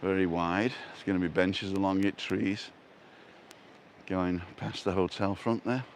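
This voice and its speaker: Man, Yorkshire accent, fairly low pitch, casual joking chat, outdoors by the sea. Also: level tone, measured pace, slight background noise. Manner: chatty, joking, reads viewer comments aloud. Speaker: vlog host. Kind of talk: casual live-stream walk chat. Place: outdoors on a seaside walkway.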